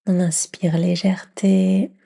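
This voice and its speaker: Breathy, slow-paced woman guiding meditation. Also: fairly low pitch, little pitch movement. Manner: gentle, breathy near-whisper with long pauses. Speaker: yoga nidra meditation guide. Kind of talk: guided meditation, soft and soothing.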